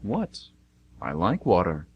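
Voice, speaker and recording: A man playing a very deep-voiced, smug God. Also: wildly swooping pitch, slow pace, dull audio, heavily acted. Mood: smug, teasing. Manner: breezy, self-satisfied, punning. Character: God, smug, jovial, teasing male deity at lunch. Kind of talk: amateur comedic radio play acting. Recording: noisy amateur radio recording with hum.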